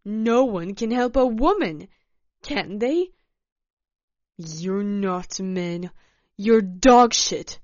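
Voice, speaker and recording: A woman storyteller voicing a furious, irritable, demanding woman who snaps and wails loudly. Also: mid-range voice, expressive, very slow, pauses often, dry recording, muffled recording. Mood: scornful, furious. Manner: loud, snapping, wailing outbursts. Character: furious, shrill, foul-mouthed woman hiker, irritable and demanding.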